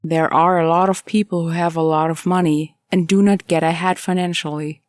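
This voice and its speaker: A woman reading scripted nonfiction as an audiobook narrator, in measured, clearly phrased delivery. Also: fairly low pitch, a little mellow, no echo, studio quality.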